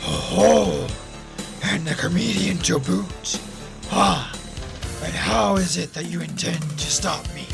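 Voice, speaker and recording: A man playing a mocking, condescending inhuman villain in a radio drama, with a gravelly, drawn-out delivery. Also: high voice, music in the background, heavily acted. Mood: sneering. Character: sneering villainous inhuman leader in human shell.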